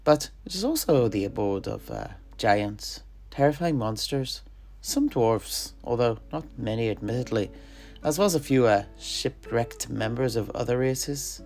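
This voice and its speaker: Man playing a slow, theatrical fantasy storyteller. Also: medium pitch, big pitch swings, creaky, darkish tone, soft background music. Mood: dramatic storytelling. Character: male alchemist storyteller recounting his homeland's legends. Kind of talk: in-character fantasy tale narration.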